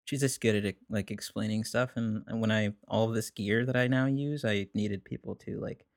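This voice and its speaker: Gravelly, low-voiced young man chatting. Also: steady tone, moderate pace, no room sound, studio quality. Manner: rambling, lots of "like", laughs while telling stories. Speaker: musician guest. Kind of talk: casual conversation.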